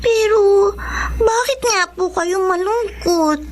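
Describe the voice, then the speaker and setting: Squeaky-high sweet Filipino little girl. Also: slow, a little mellow. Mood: curious, concerned. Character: sweet, polite, curious little girl, a daughter. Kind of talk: Filipino radio drama acting. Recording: music under the voice.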